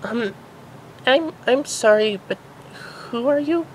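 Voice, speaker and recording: A confused grown-up pegasus mare, voiced by a man in a read-aloud story. She is scatty and hesitant, stammering in a raised falsetto over faint background noise. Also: expressive, slow pace, exaggerated voice, rough recording.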